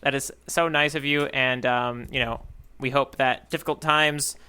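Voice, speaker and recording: A man's voice: mid-range voice, fairly even intonation, moderate pace, sharp tone, clean tone. A man co-hosting a casual podcast, talking in a playful way with rambling asides. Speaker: podcast co-host. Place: remote online podcast recording.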